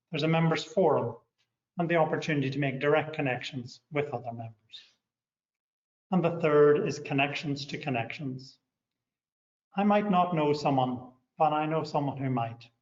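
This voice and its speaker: Northern Irish man in an echoey room. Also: medium pitch. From Northern Ireland. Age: middle-aged. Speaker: company director. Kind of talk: scripted promotional talk. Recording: echoey, muffled room sound.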